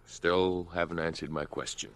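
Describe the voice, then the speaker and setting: A man's voice: deep voice, steady tone, moderate pace, low-quality recording. An actor in a radio drama playing a playful, insistent salesman courting his sweetheart in a teasing manner. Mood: teasing, flirtatious. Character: pushy, playful salesman suitor.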